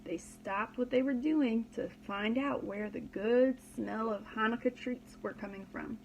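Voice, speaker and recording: A woman's voice: a little high, measured pace, gravelly, muffled recording, a little hiss or hum. A woman reading a children's story aloud as a preschool teacher, dramatically and expressively, with simple explanations for young listeners.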